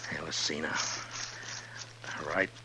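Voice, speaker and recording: An actor playing a stern, weary Old West marshal in a terse, authoritative way, in 1950s radio western style. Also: male voice, rumbling low, fairly even intonation, takes it slowly, heavy background noise. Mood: grimly determined. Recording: vintage 1950s radio drama recording.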